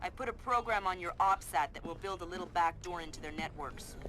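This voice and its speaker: A woman's voice: on the low side, brisk, a bit bright, soft background music, lightly acted. An actress playing a young, perky female tech analyst guiding a spy in a video game, playful and informal. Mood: helpful, briefing.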